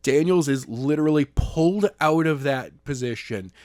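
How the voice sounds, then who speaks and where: A man's voice: fairly high pitch, lively intonation, normal speed, a little mellow, no echo, clean studio sound. A man in casual podcast chat, joking and acting out characters in put-on voices. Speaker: narrator and co-host.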